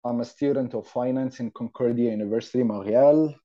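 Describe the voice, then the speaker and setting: Young man, Arabic accent, relaxed soft-edged tone, informal podcast guest, muffled lo-fi sound. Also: mid-range voice, fairly quick. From Saudi Arabia. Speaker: podcast guest, university student. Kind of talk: casual personal storytelling. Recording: slightly dull lo-fi audio.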